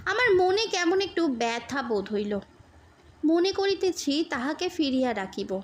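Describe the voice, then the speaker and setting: Woman narrator, high pitch, slow pace, measured expressive storytelling, scripted audiobook reading. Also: a little hiss or hum.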